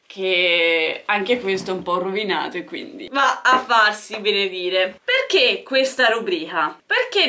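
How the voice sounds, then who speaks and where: Bright-voiced young woman vlogging casually. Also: mid-range voice. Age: young adult. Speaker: vlogger and streamer. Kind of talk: casual, chatty video presentation. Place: indoor room, seated on a sofa.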